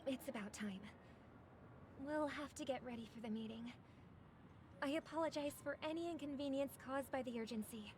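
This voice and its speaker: An actress playing a gentle, gracious young woman in a video game, speaking politely and earnestly. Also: high-pitched, moderate pace, noisy background, lightly acted. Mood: earnest, poised.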